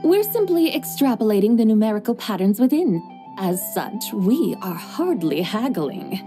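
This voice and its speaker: A coolly logical formal traveler. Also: female voice, medium pitch, sing-song, mellow, faint music behind. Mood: composed. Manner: measured, formal, precise reasoning. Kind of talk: video game voice acting.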